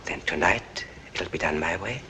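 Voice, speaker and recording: An actor playing a cold, sinister hired killer in a 1940s film, speaking tersely and impatiently. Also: male voice, low-pitched, moderate pace, close to an everyday voice. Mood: cold menace. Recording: noisy old 1940s film soundtrack.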